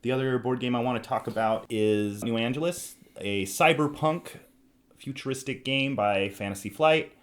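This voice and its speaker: A man's voice: medium pitch, moderate pace. A man hosting a podcast, casually talking through board game reviews. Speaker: podcast host.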